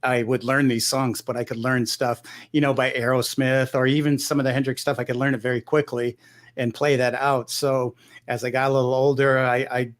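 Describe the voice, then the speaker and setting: A man's voice: medium pitch, flat delivery, brisk. A middle-aged man from Michigan, a guitarist guest in an interview, talking in a loose, rambling way with many restarts. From Michigan, United States. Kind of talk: relaxed conversational interview.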